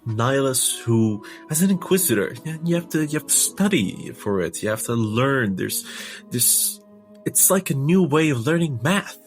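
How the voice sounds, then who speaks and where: A man playing a tabletop role-playing game, improvising narration for his character in a halting, self-correcting way. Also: mid-range voice, sing-song. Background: faint music.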